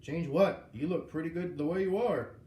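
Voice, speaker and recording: A man's voice: a little high, normal speed, mellow, pitched above the performer's own voice, natural voice. Man as folksy male foreman, rustic, eager to please, storybook character, echoey recording, faint noise. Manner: rustic, ungrammatical, placating. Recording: roomy, echoing, noisy home recording.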